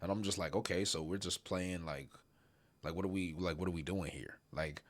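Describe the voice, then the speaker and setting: A man's voice: low voice, steady tone, moderate pace. A man co-hosting a casual review chat, laid-back, trailing off into mumbles. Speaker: co-host.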